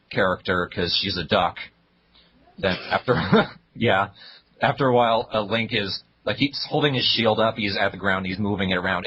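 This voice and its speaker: A man's voice: sits low, fairly bright tone, breathy edge, dull audio. A young man co-hosting a casual podcast, reviewing web videos in a blunt, dry way. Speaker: podcast co-host.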